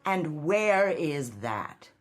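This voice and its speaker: An actress playing a cold, ambitious, bitterly disappointed wife in a radio drama, speaking with cutting, deliberate sarcasm. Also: female voice, deep voice, sing-song, exaggerated voice. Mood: cool, challenging. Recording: music bed under the dialogue.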